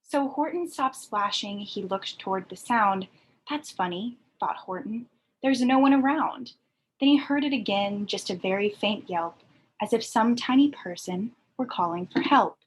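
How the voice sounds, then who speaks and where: A woman reads a rhyming children's picture book aloud in an expressive storytelling style. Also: mid-range voice, unhurried, slight background noise, poor audio.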